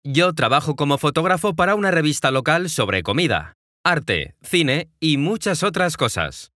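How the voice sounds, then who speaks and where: A young Mexican man in his twenties reads a scripted learner's story in a clear, friendly voice that talks straight to the listener. His pitch sits a little high and rises and falls with plenty of life. The sound is dry and close, with no room around it. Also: big pitch swings, moderate pace, sharp tone, no room sound. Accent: Mexican Spanish. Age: 20s. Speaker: podcast host. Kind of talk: scripted narration for language learners.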